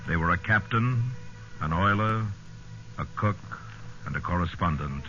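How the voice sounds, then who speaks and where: A man acting as the grave, measured narrator of a sea survival tale in a 1950s radio drama. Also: low-pitched, lively intonation, a little hiss or hum, exaggerated voice. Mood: solemn. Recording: muffled old radio recording, loud music behind.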